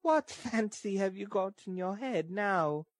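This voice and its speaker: A man voicing a weak, long-suffering young woman in a story, soft, tender and pleading. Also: sits high, animated, measured pace, no room sound, voice pushed up. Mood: weary, meek.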